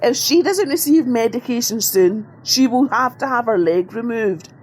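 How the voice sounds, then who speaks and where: An actress playing a fearless woman held prisoner, who speaks in a defiant, forceful way. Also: on the high side, lively intonation, normal speed, slight background noise, natural voice.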